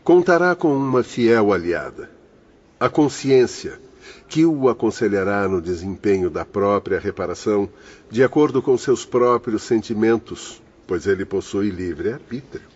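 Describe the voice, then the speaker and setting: An actor playing a learned spirit doctor who explains things in a measured, husky voice. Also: male voice, on the low side, lively intonation, darkish tone, muffled recording. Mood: explanatory. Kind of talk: audio drama acting.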